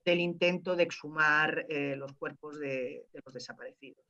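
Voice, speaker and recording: A woman answering as a playwright, haltingly searching for words as she explains. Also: deep voice, steady tone, sharp tone. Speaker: playwright at a post-show Q&A. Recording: dull, lo-fi audio.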